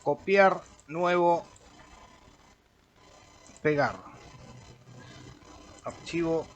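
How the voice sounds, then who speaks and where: An Argentine man, a radio amateur slowly talking through an audio editor tutorial while clicking through menus. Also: on the high side. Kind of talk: screen-recorded software tutorial. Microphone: computer microphone.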